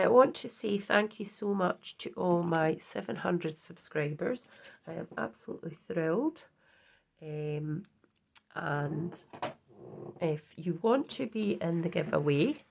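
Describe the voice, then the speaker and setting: A woman with a hoarse voice from a cold, chatting casually on her craft vlog and showing her project, with many ums and restarts. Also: lowish voice, darkish tone. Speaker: craft channel host.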